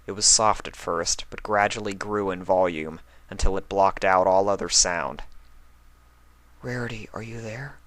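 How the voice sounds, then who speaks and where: A man's voice: low voice, flat delivery, normal speed, few pauses, a bit bright. A man speaking as a reader of fan fiction stories. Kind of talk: storybook narration and casual sign-off.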